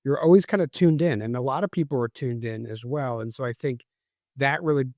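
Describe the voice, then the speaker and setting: A man's voice: mid-range voice, fairly quick, darkish tone, no echo. Reflective middle-aged man, dry sound. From Cambridge, Massachusetts. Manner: reflective, tags points with "right?". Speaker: guest, former FBI agent and CEO. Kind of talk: conversational podcast interview.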